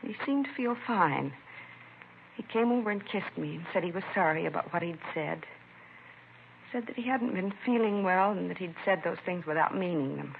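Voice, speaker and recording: A woman's voice: lowish voice, expressive, moderate pace, creaky. An actress playing a distraught, protective American mother in her early forties, in old-time radio crime drama style. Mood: upset, subdued. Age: middle-aged. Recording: tinny, hissy vintage radio broadcast.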